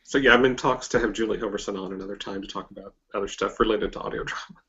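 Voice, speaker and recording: A man with an American accent hosting a casual interview, speaking in an informal, somewhat halting conversational way. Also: lowish voice, fairly even intonation, sharp tone, muffled recording. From southern US or Mexico border region (Texas, Oklahoma area). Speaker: podcast host and interviewer.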